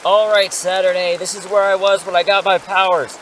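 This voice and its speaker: A man's voice: high-pitched, little pitch movement, brisk, noisy background, natural voice. A man playing an eager, cocky English teacher who is secretly a superhero, breezy and persuasive, in an audio drama. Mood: upbeat.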